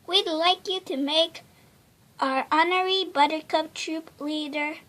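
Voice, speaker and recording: Woman as an earnest, high-pitched little princess. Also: unhurried, bright, forceful tone. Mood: earnest, determined. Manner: pleading, exclamatory. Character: earnest, eager young princess girl in a storybook.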